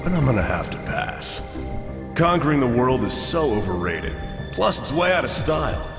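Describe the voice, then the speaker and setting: Cocky executioner in echoing reverb. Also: male voice, mid-range voice, big pitch swings, moderate pace. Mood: flippant. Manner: dry, flippant, menacing. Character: cocky, wisecracking male executioner, cool action hero. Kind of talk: video game hero voice acting. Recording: echoey reverb, music behind.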